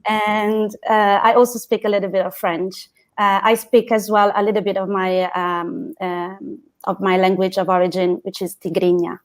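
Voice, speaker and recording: A woman's voice: mid-range voice, flat delivery, normal speed. A woman from Italy with an Italian accent, a teacher speaking as a roundtable guest in hesitant English. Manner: hesitant, laughs between phrases. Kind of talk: roundtable discussion.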